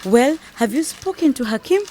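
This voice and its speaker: A woman's voice: high-pitched, expressive, moderate pace, warm tone, a little hiss or hum. A woman playing a determined, persuasive young wife in an educational radio drama, advising her friend with assured emphasis.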